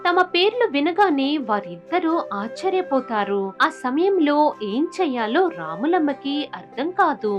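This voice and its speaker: A woman storyteller with a high voice. She narrates a scripted moral tale with very lively, swinging intonation, over light music. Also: normal speed, dull audio.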